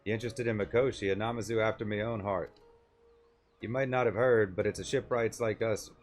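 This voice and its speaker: A man voicing a gruff, rough-spoken shipwright with blustering working-class speech in a game. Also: fairly low pitch, fairly even intonation, a little fast, soft background music, natural voice. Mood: apologetic, friendly. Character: gruff, rough-spoken male shipwright, quick-tempered but helpful.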